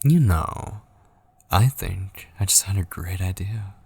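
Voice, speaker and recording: A man playing an obsessive, possessive kidnapper and coaxing lover in an intimate, whispery ASMR roleplay, husky and close to the mic. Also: sits low, expressive, darkish tone, heavily acted. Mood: scheming, coaxing. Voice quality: husky, breathy, often dropping into whispers. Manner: slow, coaxing, possessive endearments. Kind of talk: intimate ASMR roleplay, scripted dramatic monologue. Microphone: close studio mic, dry.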